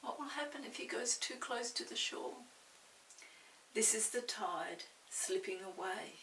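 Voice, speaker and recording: An older woman reading a story aloud to young children, expressive, pausing to explain and ask the listener questions. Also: mid-range voice. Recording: roomy, distant mic with faint hiss.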